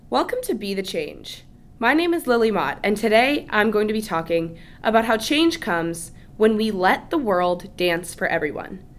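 A woman's voice: mid-range voice, a bit bright. A woman hosting a podcast, reading an eager scripted intro and asking her guest questions. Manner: upbeat, eager. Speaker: podcast host.

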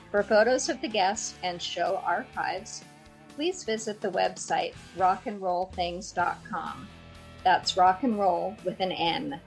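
A woman with a slightly low voice hosts a podcast. She speaks as an interviewer and show announcer, with music behind her. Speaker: podcast host.

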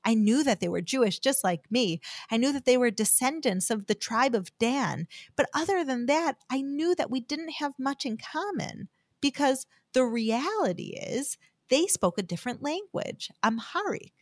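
Lively earnest American woman. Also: high voice, moderate pace, dry recording. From United States. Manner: earnest, heartfelt storytelling. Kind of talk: scripted devotional talk with personal story.

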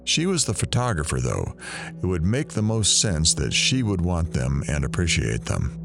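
Audio drama investigator, male actor: bass-low voice, dry sarcasm, unhurried and matter-of-fact. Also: very animated, creaky, music in the background, exaggerated voice. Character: dry, sardonic male investigator searching rooms.

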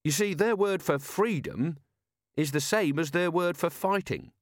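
A man's voice: fairly high pitch, measured pace, no echo, clean studio sound, pitched above the performer's own voice, close to an everyday voice. A man doing an eager, polite young officer. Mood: earnest. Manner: eager, deferential, keeps saying sir. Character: earnest, polite young male watch officer.

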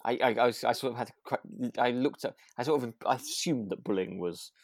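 A man co-hosts a relaxed, chatty podcast in a medium-pitched voice. He talks tentatively, doubling back and rephrasing as he goes. The sound is dry, with very little room in it. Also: fairly quick, dry recording. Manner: hesitant, repeats and rephrases himself. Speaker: podcast co-host. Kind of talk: relaxed casual chat about a radio drama.

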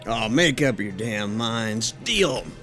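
An actor playing a suspicious, impatient, gruff rancher at cards in a Western drama. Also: male voice, lowish voice, animated, slow pace, bright, forceful tone, exaggerated voice. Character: hot-tempered suspicious male rancher card player. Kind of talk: semi-animated film voice acting, Western drama.

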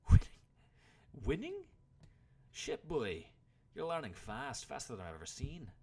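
Man acting a graying old warrior, fantasy audiobook, stern mentor, blunt, exasperated, gravelly, animated pitch. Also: moderate pace. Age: older. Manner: blunt, scolding.

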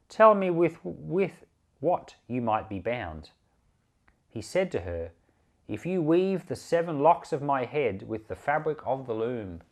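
A man reading scripture aloud for a podcast in a measured, deliberate, expressive storytelling manner. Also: mid-range voice. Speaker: pastor and Bible reader.

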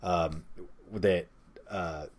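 A man's voice: low-pitched, fairly even intonation, drawn-out words. A man hosting a casual movie discussion podcast, giving his opinions with joking asides. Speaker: podcast host.

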